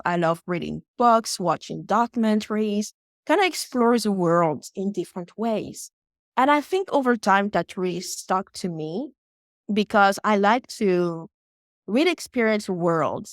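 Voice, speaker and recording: Woman, young adult, from West Africa, podcast guest, calm, reflective, dry close sound. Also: mid-range voice, no room sound. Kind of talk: casual interview talk.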